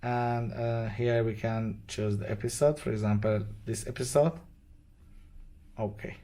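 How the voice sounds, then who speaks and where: A man who is not a native English speaker gives an unscripted step-by-step product tutorial in short, simple, halting phrases. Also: on the low side, fairly even intonation, mellow. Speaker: product demonstrator. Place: indoors at a demo bench with devices.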